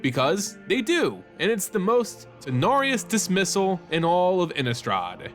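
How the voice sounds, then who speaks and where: A man with a fairly high voice narrates like a storyteller, measured and dramatic. His pitch moves around a great deal from phrase to phrase. Music plays underneath. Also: sing-song, fairly bright tone. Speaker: host and story narrator of a fan lore channel. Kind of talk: scripted narration, storytelling read aloud.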